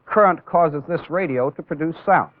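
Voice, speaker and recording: A man's voice: a little high, noisy background. Tinny, band-limited male training-film instructor. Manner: deliberate, step-by-step explaining with emphasis on key words. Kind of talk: instructional demonstration lecture. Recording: tinny phone-like old film soundtrack.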